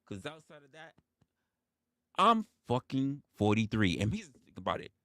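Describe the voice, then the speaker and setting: A man's voice: mid-range voice, big pitch swings, normal speed, sharp tone, no echo, clean studio sound. A man in his forties hosting a casual livestream monologue, emphatic and profane, often repeating his phrases for effect. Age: 40s. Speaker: livestream host.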